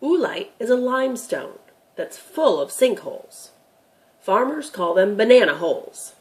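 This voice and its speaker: A woman's voice: on the high side, very animated, a little slow. A woman voicing a patient, knowledgeable grandfather who explains things emphatically in a children's storybook reading. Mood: patient, explanatory.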